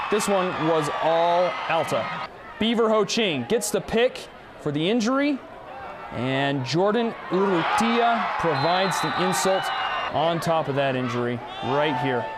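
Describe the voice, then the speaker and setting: A young American man narrating high school and college sports highlights with an energetic, punchy delivery. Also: heavy background noise. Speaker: sports reporter intern.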